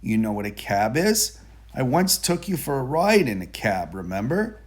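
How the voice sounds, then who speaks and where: A man reading a children's picture book aloud in a deliberate, expressive storytelling manner. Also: medium pitch, breathy edge. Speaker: storybook reader.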